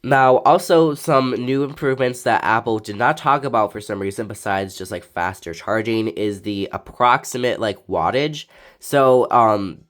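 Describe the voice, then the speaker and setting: A young man with a raspy voice from allergies, reviewing a phone casually with lots of fillers. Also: on the low side. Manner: rambling, filler-heavy, laid-back. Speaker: tech reviewer.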